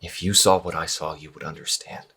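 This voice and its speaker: Low, husky troubled young priest. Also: male voice, fairly even intonation, sharp tone, heavily acted. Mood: distressed, earnest. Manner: hesitant, halting, earnest. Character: troubled young priest losing faith in his church. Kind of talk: audio drama acting.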